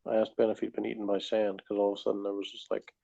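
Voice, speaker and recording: Male co-host, lowish voice, casual conversational commentary, dry and blunt. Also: level tone, rapid-fire, gravelly, dark tone, muffled recording. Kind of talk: casual chat.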